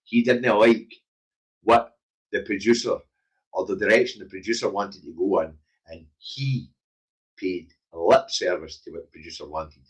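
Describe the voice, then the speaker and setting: Husky, low-voiced Glaswegian man. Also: a little slow, rough recording. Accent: Glaswegian Scottish. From Scotland. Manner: blunt, emphatic, sweary, conversational. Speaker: livestream current affairs show host. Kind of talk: opinionated casual live commentary.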